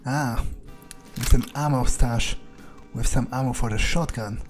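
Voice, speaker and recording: A man's voice: mid-range voice, fairly even intonation, a little slow, close to an everyday voice. Man role-playing a ruthless vampire musing over music. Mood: wry musing. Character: ruthless, brooding male vampire thinking aloud. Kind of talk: in-character game playthrough narration.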